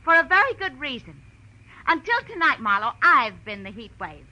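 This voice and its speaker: A brassy American showgirl in an old radio crime drama. Her voice is high and very sharp, swinging lively in pitch. She is sassy, scheming and defiant, a tough talker. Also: moderate pace, heavily acted, rough recording. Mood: cool, menacing. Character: brassy, hard-edged female nightclub performer, scheming and defiant. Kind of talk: 1940s radio noir acting.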